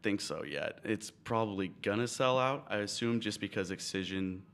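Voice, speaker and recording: A man's voice: fairly low pitch, expressive, normal speed, a bit bright, a little hiss or hum. A man, a guest on a radio show, talking in a loose, laid-back way. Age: 30s. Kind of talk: casual on-air interview.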